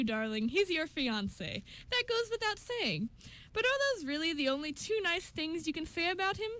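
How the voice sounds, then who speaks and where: A woman voicing a sharp, skeptical female council member in an amateur radio play, condescending and probing. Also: high-pitched, voice pushed up.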